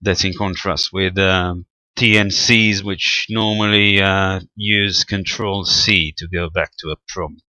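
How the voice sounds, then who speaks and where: Low-pitched man explaining technical gear, crisp tone. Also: fairly even intonation, pushed and bright, dry recording. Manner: halting, methodical, explanatory. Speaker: amateur radio hobbyist presenting equipment. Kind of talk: unscripted screen-recorded technical walkthrough.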